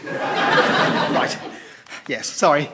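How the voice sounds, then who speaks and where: A man's voice: drawn-out words, very noisy. A man playing a cheerful, naive young Englishman in a radio sitcom, eager and rambling with childlike puzzlement. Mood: chirpy, flustered.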